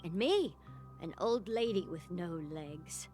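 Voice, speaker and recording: A woman's voice: fairly low pitch, expressive, slow pace, music in the background. A kindly old lady. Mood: gentle. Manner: gentle, warm, reassuring. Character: kindly old woman caring for orphans. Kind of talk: game voice acting.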